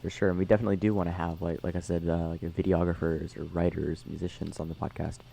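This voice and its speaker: A young man co-hosting a casual podcast, talking haltingly with many fillers, his voice tired after a concert. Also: sits low, level tone, creaky, mellow, breathy edge. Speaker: podcast co-host. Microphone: headphones on, remote call.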